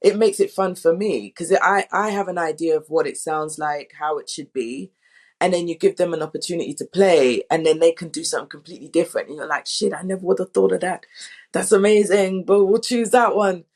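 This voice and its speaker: A woman's voice: fairly low pitch, fairly quick, a little mellow. A woman speaking as a drama director, telling candid anecdotes in an informal, emphatic way and acting out quotes.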